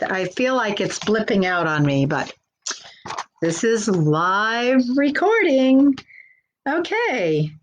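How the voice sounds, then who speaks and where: A woman's voice: medium pitch, a little hiss or hum. A woman working as a children's librarian, hosting a live storytime in a simple, encouraging way and speaking directly to young children.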